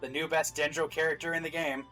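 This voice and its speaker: A man hosting casual reaction commentary on game trailers, with jokey, animated asides. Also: a little high, fast, faint music behind, rough recording.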